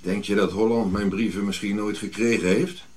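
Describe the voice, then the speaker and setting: A man's voice: on the low side, steady tone, normal speed, a little mellow, natural voice. An older man playing a bitter, imperious African prince on Java in a radio drama, speaking formally with caustic haughtiness. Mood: suspicious, commanding.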